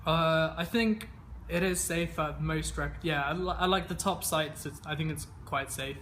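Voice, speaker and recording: A man's voice: fairly high pitch, moderate pace, a bit bright, slight background noise. A man in his twenties, raised in England, answering interview questions in an easygoing way with many fillers and restarts. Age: 20s. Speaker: interviewee, games player.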